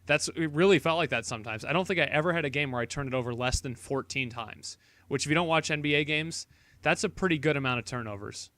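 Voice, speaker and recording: A man's voice: fairly high pitch, fast talker, sharp tone, no room sound. A man co-hosting a casual gaming podcast, teasing and bantering with his friends. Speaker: podcast co-host.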